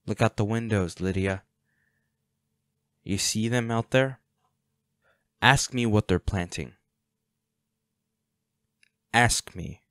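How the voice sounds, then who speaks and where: A man playing a protective, defiant hunter boyfriend in an intimate roleplay audio drama, speaking unhurriedly with sighs and pauses. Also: on the low side, no echo, studio quality. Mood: insistent, protective. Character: protective, defiant boyfriend, a monster hunter, tender but ruthless.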